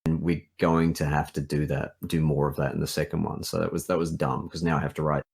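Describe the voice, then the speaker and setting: Australian man, extremely low and husky, thinking aloud in relaxed developer talk. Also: a little fast. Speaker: visual novel writer and developer. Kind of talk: casual development chat.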